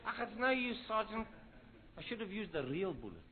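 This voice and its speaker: An actor playing a dim-witted police constable in a radio comedy sketch. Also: male voice, high voice, sing-song, brisk. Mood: deadpan. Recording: tinny lo-fi radio broadcast with noise.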